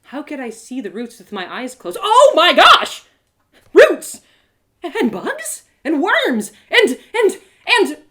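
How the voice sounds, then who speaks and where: A woman's voice: high-pitched, huge pitch swings, takes it slowly, pushed and bright, pitched above the performer's own voice, full character voice. A woman voicing an earnest, wide-eyed young heroine in a live storybook reading, breathless, stammering and full of exclamations. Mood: amazed.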